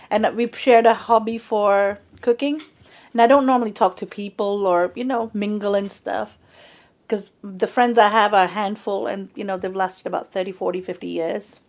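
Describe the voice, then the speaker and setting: Woman, chatty, animated storyteller, mid pitch, relaxed conversation. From England. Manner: chatty, animated storyteller who acts out quoted speech. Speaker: guest.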